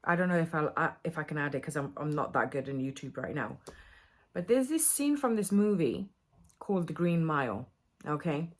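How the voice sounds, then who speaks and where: A woman speaks in a slightly low register. She talks straight to camera in a casual, confessional vlog style, candid and emotional, wandering from one thought to the next. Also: lively intonation, moderate pace. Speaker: vlogger.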